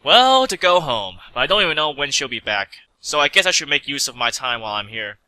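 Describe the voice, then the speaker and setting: A man's voice: medium pitch, big pitch swings, brisk, bright, forceful tone, no echo. A man playing a polite, slightly awkward stranded mechanic in a story reading, with a hesitant manner. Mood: polite, unsure.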